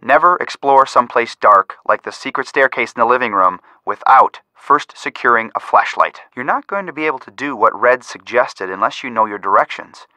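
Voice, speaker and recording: A young male sleuth coaching the player in a video game. He is earnest but dryly teasing, with an animated, swinging pitch. The recording is dry and close. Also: normal speed, few pauses, dry recording. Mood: brisk, coaching. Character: earnest, wry young male amateur detective. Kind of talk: video game hint dialogue.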